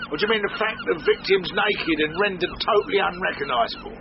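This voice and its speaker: An actor playing a grumpy, self-pitying middle-aged British chief inspector, sarcastic and blunt, in a radio drama. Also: male voice, high voice, wildly swooping pitch, fast, gravelly, full character voice. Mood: sarcastic, impatient. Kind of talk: British radio comedy-mystery acting. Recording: muffled, noisy radio drama recording.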